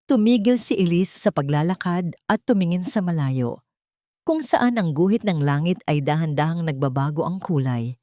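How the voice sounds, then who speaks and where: A woman reading a scripted dramatic story as narrator, in a measured, solemn storytelling manner. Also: lowish voice, lively intonation, warm tone, no room sound, clean studio sound. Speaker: narrator of love stories.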